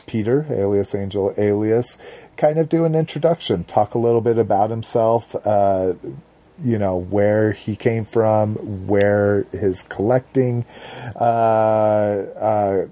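A man's voice: lowish voice, mellow. A man hosting a podcast in casual chat, rambling and searching for words with many fillers. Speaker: podcast host.

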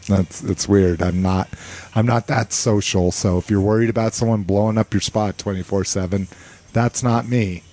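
A man's voice: fairly low pitch, fairly even intonation, normal speed, dark tone. A man co-hosting a podcast, chatting casually in a rambling, self-deprecating way and laughing often. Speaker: podcast co-host. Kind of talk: casual chat.

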